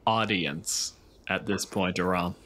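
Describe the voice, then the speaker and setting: A man's voice: low-pitched, slow pace, bright, forceful tone, a little hiss or hum. A man narrating casually and conversationally as the game master of a tabletop role-playing game. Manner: profane, conversational.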